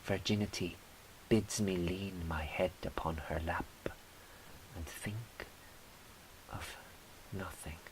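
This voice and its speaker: A man reading poetry in a measured, deliberate, wry way, as a poet performing his own work. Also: deep voice, steady tone. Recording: faint background hiss.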